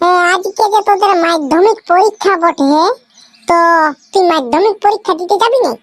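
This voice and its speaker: An extremely shrill, high cartoon schoolboy in a dry recording. He is an excitable village friend with a Purulia accent, nagging insistently in exclamatory comic slang. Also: normal speed, no echo. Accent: Purulia, West Bengal.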